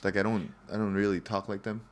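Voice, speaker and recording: Joking, loose-talking man with a lowish voice. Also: level tone, quick pace. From Singapore. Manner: loose, joking, profane, lots of fillers. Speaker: podcast host. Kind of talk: casual podcast chat.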